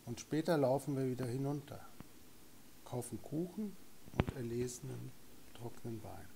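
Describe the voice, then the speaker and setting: A man's voice: mid-range voice, animated, moderate pace, creaky. A man reciting a poem aloud at a recital. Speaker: poetry reader. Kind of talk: poetry recitation. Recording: light background noise, room ambience.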